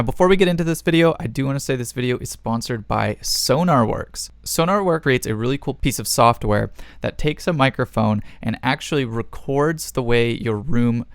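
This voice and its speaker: A man's voice: mid-range voice, no echo, clean studio sound. A man hosting a music production tutorial, explaining things step by step in a casual way.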